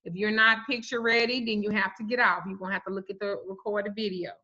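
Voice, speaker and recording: A woman in her forties, a spiritual reader, telling stories on a livestream in a blunt, profane, animated way. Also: medium pitch, fast talker. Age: 40s. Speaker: spiritual reader and root worker. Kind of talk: casual livestream talk. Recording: muffled lo-fi stream audio.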